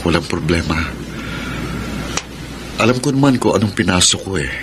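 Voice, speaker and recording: Low-voiced lovestruck young Filipino suitor. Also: male voice, animated, moderate pace, background noise. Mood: earnest, tender. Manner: sentimental, florid, pleading. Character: Francis, lovestruck hopeful earnest young suitor, also narrating. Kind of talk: radio drama acting with letter narration. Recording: radio drama with music underneath.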